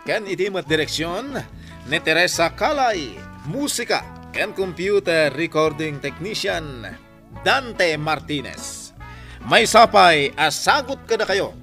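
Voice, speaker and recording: Male radio drama announcer: bright, forceful, declamatory credit reading with stretched emphasis, over music. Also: a little high, animated, takes it slowly. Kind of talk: scripted radio sign-off and credits.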